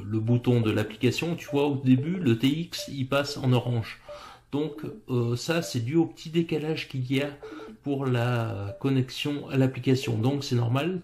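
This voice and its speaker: A man's voice: mid-range voice, a little mellow. A man with a French accent explains a phone app step by step. He speaks informally, addressing the listener as a friend. His delivery is loose and unpolished, full of hesitations and restarts. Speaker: app tutorial presenter. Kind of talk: casual screen walkthrough.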